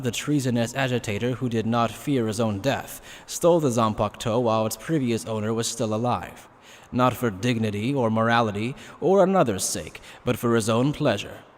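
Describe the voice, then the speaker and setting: A man narrates a dramatic action tale from a script. His voice is medium in pitch for a man. A long reverb hangs around every phrase, as in a large hall.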